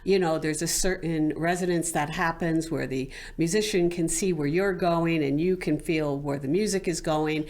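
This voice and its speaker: Woman podcast host, low-ish voice, eager with quick affirmations. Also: fairly even intonation, a little mellow. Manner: eager, frequent "wow" and "mm-hmm" prompts. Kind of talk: casual interview chat.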